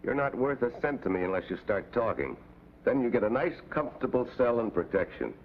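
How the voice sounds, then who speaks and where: An actor playing a tough, hard-nosed American special agent in a 1950s TV drama, with a clipped, commanding, dry manner. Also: male voice, medium pitch, lively intonation, brisk, slight background noise, natural voice. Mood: hard, threatening. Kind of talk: 1950s television drama acting. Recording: tinny old TV soundtrack, loud music.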